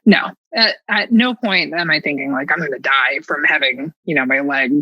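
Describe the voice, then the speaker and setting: A woman telling a personal story as a podcast guest in an animated, casual, self-mockingly dramatic way. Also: low-pitched, normal speed, no room sound, lo-fi sound.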